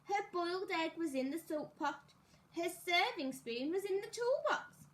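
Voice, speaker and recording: A woman teacher reading a children's story aloud, expressively and deliberately, as if to young pupils. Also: very high-pitched, expressive, fairly bright tone.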